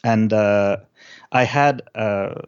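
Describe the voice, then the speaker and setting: A male musician from Peru who grew up speaking recounts things in a relaxed interview. His voice sits on the low side and he feels his way through sentences haltingly. The sound is a little dull and lo-fi. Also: clean tone. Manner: halting, thinking aloud. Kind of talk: casual interview storytelling.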